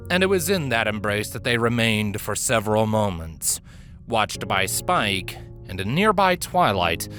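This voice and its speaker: A man reading fiction aloud as a dramatic story narrator, with theatrical, deliberate emphasis. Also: on the low side, a bit bright. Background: background music.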